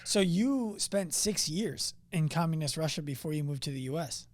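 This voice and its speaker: A man's voice: a little high, expressive, moderate pace, no room sound. A man hosting a podcast, interviewing a guest with brief interjections and short questions. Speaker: podcast host.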